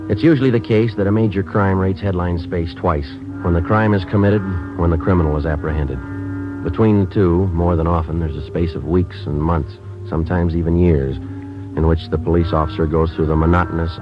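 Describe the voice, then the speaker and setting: An actor playing a clipped, unflappable police sergeant who also narrates, in a flat, matter-of-fact vintage radio drama delivery. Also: male voice, sits low, natural voice. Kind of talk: 1940s-50s radio drama acting. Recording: lo-fi vintage radio broadcast with music.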